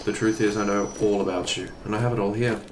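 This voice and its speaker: Guy doing an evil imperial officer, pompous n condescending, low-ish voice, audio drama, noisy ambience. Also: steady tone, fast talker, reverberant, close to an everyday voice. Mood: scornful.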